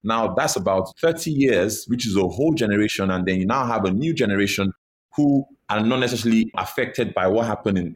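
A man's voice: lowish voice, a little hiss or hum. A man explaining his views thoughtfully as a guest on an interview. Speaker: podcast guest. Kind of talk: interview answers.

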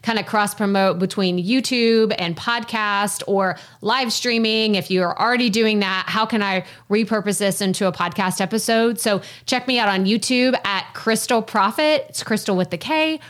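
Texan woman, podcast guest, loud and folksy, average pitch. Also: moderate pace, hardly any pauses. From Texas. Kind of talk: casual interview chat.

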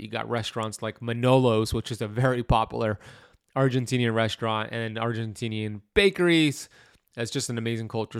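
A man's voice: medium pitch, smooth, no room sound, clean studio sound. A man hosting a health podcast, reading promotions and introducing his guest in an upbeat, enthusiastic way. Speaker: podcast host. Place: home in Miami.

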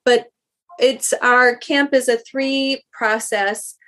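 A woman from South Africa with a fairly high voice answers interview questions in an earnest, thoughtful way. She often stops mid-phrase and starts over. Her answers run long. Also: fairly even intonation, slow pace, fairly bright tone. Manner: earnest, thoughtful, with many restarts. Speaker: guest, speech language pathologist.

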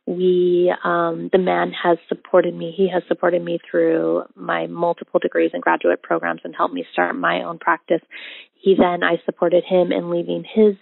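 A woman in her thirties tells her love story in a low, flat voice with a heavy gravelly fry. She speaks reflectively with frequent ums and pauses. The sound is dry and narrow, like a phone line. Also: no echo. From Indonesia. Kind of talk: casual personal storytelling. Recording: tinny phone-like audio.

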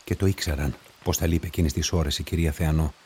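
An actor playing a methodical police investigator who reasons aloud deliberately in a radio drama. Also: male voice, extremely low pitch, steady tone, a little mellow, slight background noise. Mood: analytical.

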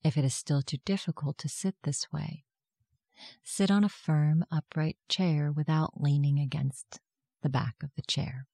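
A meditation guide giving gentle, soft-spoken, measured instructions in a scripted guided meditation. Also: medium pitch, fairly even intonation, no room sound.

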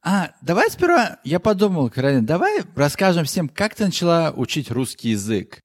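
Higher-pitched man in casual podcast chat. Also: big pitch swings, brisk. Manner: jokey, repeats himself, mimics sounds and quotes. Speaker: podcast co-host.

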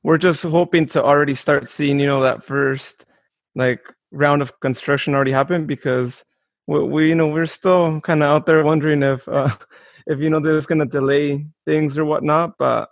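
Even-toned man with a gravelly creak. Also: on the high side, normal speed, no room sound. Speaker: interview host. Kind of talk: casual sports chat.

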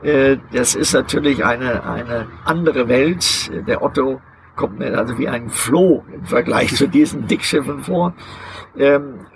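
An older man answers questions outdoors, his gravelly voice halting and deliberate, full of hesitations as he searches for words. A faint outdoor hum runs underneath. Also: mid-range voice. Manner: deliberate, hesitant, many filler sounds. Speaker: interviewee, long-term overland traveler. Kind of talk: outdoor interview. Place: outdoors beside a vehicle museum.

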